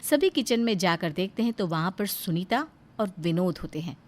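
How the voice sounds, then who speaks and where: A woman's voice: lowish voice, lively intonation, moderate pace. A woman narrating a scripted moral story as a storyteller.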